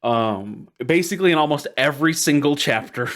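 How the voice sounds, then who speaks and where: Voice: mid-range voice, lively intonation, moderate pace, a bit bright. A podcast co-host chatting casually and summarizing research in an amused, giggly manner.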